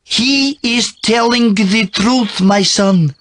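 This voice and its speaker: An actor playing a kindly older male priest who talks in a gentle, paternal way. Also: high voice, takes it slowly, a little hiss or hum.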